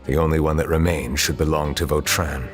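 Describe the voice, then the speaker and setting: An actor voicing a calm, stern male judge in a video game who speaks in a measured, formal way. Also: a bass voice. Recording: game audio with soft music behind.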